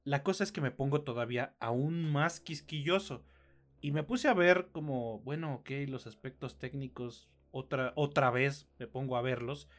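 Man, late thirties, Mexican accent, medium pitch, slangy and sweary, opinionated reviewer, casual podcast chat. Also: normal speed. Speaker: podcast co-host.

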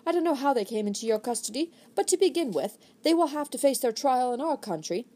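Woman playing a suave scheming gentleman. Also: high voice, lively intonation, fast, pitched above the performer's own voice. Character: smooth scheming gentleman, feigning loyal outrage.

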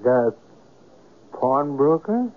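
A man's voice: medium pitch, very animated, extremely slow. A man acting the part of a reflective, dramatic writer with grave intensity in an old radio drama. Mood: evasive, wry. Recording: tinny, hissy old radio broadcast.

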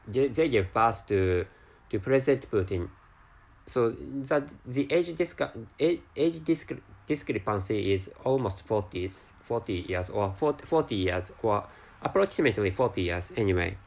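A man with a Japanese accent, a native Japanese speaker, talking alone on his podcast in slow, halting English full of restarts and repeated words. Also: mid-range voice. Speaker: podcast host. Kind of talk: casual solo podcast monologue.

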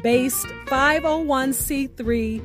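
A woman hosts a radio storytelling show in a high-pitched voice. She reads her scripted outro slowly and deliberately, each item measured out, while guitar music plays clearly behind her. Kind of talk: presenting a featured guest, scripted outro.

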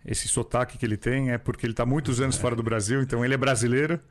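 A male podcast host talks at a quick clip with a mid-range voice. He steers the conversation briskly, feeding his guest questions and breaking in to promote the show. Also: medium pitch, fairly even intonation. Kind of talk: interviewing, casual chat.

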